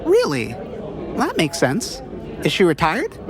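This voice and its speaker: A woman's voice: on the low side, huge pitch swings, takes it slowly, a crowd in the background, exaggerated voice. A woman playing a guarded, teasing government aide in a noir comedy audio drama, by turns hesitant and evasive. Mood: sympathetic, guarded.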